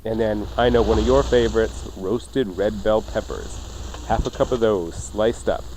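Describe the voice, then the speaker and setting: A man's voice: lowish voice, steady tone, unhurried. Jokey man hosting a cooking show, noisy recording. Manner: jokey, informal banter. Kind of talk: casual radio cooking chat.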